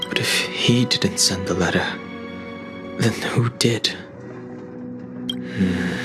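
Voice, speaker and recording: An actor voicing a reserved, brooding young man in a video game, speaking in a measured, serious way. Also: deep voice.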